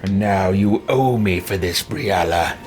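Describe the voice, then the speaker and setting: A man roleplaying in character during a video game let's play, speaking in a deliberate, theatrical way. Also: sits low, music in the background.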